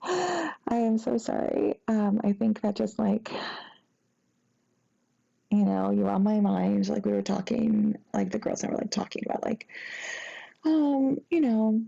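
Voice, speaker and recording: Woman acting flustered young ex-girlfriend, very creaky voice. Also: mid-range voice, animated, warm tone, highs cut off, full character voice. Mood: flustered, apologetic. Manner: halting, rambling, embarrassed, breaking into nervous giggles. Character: sheepish, flustered, apologetic young ex-girlfriend after a drunken night. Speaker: audio roleplay performer. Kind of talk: intimate acted phone-call roleplay.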